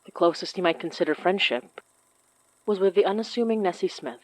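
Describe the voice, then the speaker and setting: A woman's voice: on the low side, brisk, darkish tone, no room sound, rough recording. A woman hosting a fiction podcast, reading announcements and scripted story narration.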